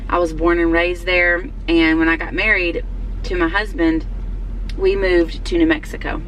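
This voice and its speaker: A woman's voice: lowish voice, level tone, moderate pace, a bit bright, a little hiss or hum, poor audio. A woman from Alabama with a Southern accent, chatting casually to her viewers in a rambling way with many fillers while out running errands. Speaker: vlogger. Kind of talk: casual chat to camera.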